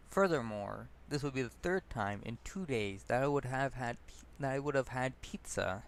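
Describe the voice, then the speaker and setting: A man's voice: mid-range voice, a bit bright. A man reading his own personal essay aloud as narrator, stumbling over words and correcting himself. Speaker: narrator and author.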